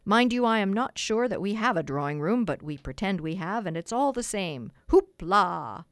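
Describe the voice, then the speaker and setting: A woman's voice: lowish voice, takes it slowly, dry recording, studio quality. A woman doing a grumbling, proud father. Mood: jolly. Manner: grudging, then jolly. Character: grumpy, wounded middle-aged father, proud.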